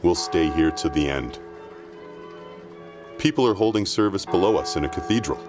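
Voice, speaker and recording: A man's voice: sits low, expressive, normal speed, music in the background. A man playing a grave radio reporter in an audio drama, describing disaster as a doomed eyewitness on air. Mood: grave, resigned. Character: grave male radio reporter facing an invasion's end. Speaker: acting student. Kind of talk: audio drama acting, broadcast news report.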